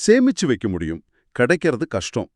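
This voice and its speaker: A man speaks as a podcast co-host with very expressive intonation. He explains in a conversational way and poses thoughtful questions as he goes. The sound is dry, with a middling pitch. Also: normal speed, no room sound. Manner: explanatory, posing reflective questions. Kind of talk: conversational podcast discussion of a folk tale.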